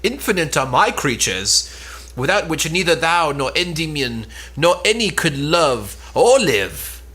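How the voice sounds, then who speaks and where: Woman playing a scornful earth goddess, raspy and animated. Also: low-pitched, measured pace, full character voice, poor audio. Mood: indignant, boastful. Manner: declamatory, archaic phrasing. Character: spurned, vengeful earth goddess, proud and scornful. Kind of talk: amateur cold read of Elizabethan play.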